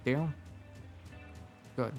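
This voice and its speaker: A man voicing a jaded, gruff tough guy who talks bluntly and makes mock threats, in an audio roleplay. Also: extremely slow, a little mellow, soft background music. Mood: gruff teasing. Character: weary, gruff man, jaded and teasingly menacing.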